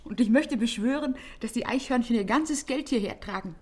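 A woman's voice: a little high, a little fast, darkish tone, close to an everyday voice. An actress in a German radio play as a playful, romantic young female student, girlish and dreamy. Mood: playful, eager.

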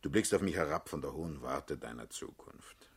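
Very deep, husky, commanding party boss. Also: male voice, animated, normal speed, full character voice. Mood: wearily brooding. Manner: blunt, paternal, brusque. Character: world-weary, commanding male party leader, blunt and sardonic. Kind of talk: German radio drama acting.

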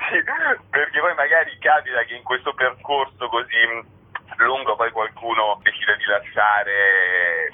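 A man being interviewed as a guest, explaining his work in a chatty way with many filler words. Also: fairly low pitch. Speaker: interview guest, satirical writer. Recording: narrow tinny phone line on radio.

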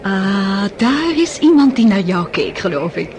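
An actress playing a worldly, scheming society countess with a honeyed, flattering manner. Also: female voice, medium pitch, a little slow, crowd noise. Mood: coaxing. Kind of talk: radio play acting.

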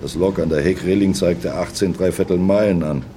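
Deep-voiced, brooding old seaman played by an actor. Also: normal speed, dark tone, slight background noise, lightly acted. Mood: mournful reminiscing. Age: older. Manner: ruminative, reminiscing, repeats himself. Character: brooding old merchant seaman, loyal, grieving his captain. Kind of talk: radio play monologue, storytelling.

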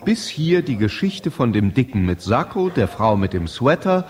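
A man playing the storyteller of a radio drama, narrating in a measured, precise, literary rhythm. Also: medium pitch, very animated, background noise, close to an everyday voice. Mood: measured, storytelling. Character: male storyteller of an English pub miniature. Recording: crowd chatter behind the voice. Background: pub crowd chatter.

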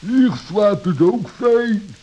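A man's voice: high-pitched, lively intonation, slow pace, mellow, lo-fi sound, voice pushed up, full character voice. A man playing a sly forest animal with a sleepy, innocent-sounding drawl in a children's radio play. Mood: feigned sleepiness. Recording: hissy, crackly old audio recording.

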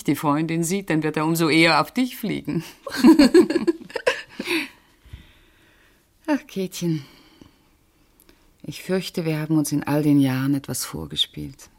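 Radio play: wry, sensible middle-aged female friend, lowish pitch, highly varied melody, dry teasing delivery. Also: creaky, heavily acted. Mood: wistful. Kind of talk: naturalistic radio drama acting.

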